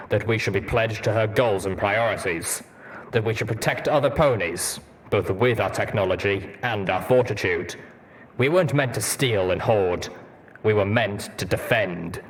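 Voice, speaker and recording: A man playing a stoic, gruff armored ghoul stallion soldier, speaking in a measured, blunt, formal way in an audiobook story. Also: fairly low pitch, natural voice, poor audio. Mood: earnest, solemn.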